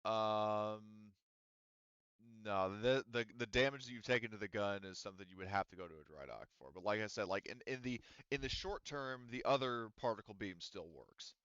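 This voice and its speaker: A man's voice: on the low side, normal speed, fairly bright tone, clear, resonant tone, no echo, dull audio. A man acting as game master in a casual online tabletop game, rambling with lots of filler words. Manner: rambling, lots of ums and likes. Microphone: headset or desk mic on voice chat.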